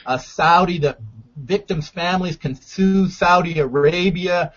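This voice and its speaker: Male radio interview host, slightly high voice, measured and deliberate delivery, dull lo-fi recording. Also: a bit bright. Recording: dull lo-fi sound.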